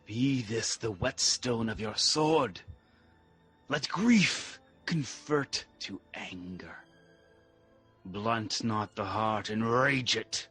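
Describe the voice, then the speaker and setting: Male actor as an earnest royal heir for classical radio tragedy; husky, slow and declamatory verse. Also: lowish voice, a bit bright, soft background music, heavily acted. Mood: urging, stern. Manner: deliberate, declamatory verse delivery. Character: Malcolm, earnest exiled Scottish prince rallying for war. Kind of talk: Shakespearean radio drama acting.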